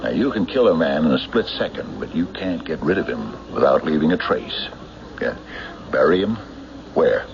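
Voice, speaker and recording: An actor playing a stubborn small-town county sheriff in a 1970s radio drama, speaking in an emphatic, insistent, deliberate way. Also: male voice, very deep voice, animated, creaky, crowd noise, exaggerated voice. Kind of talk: 1970s radio drama acting, logbook narration and dialogue. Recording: dull 1970s radio recording, music behind.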